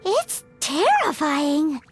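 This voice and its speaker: A woman voicing a tiny, childlike, chirpy game companion who talks about herself in the third person, with a squeaky voice. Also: wildly swooping pitch, extremely slow, exaggerated voice. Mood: uneasy, surprised. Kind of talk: video game voice acting. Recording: music behind the voice.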